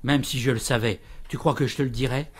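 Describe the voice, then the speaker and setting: An actor playing an older grieving father, gruff, reproachful and defiant in an audio drama. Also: mid-range voice, fairly even intonation, a little fast, natural voice.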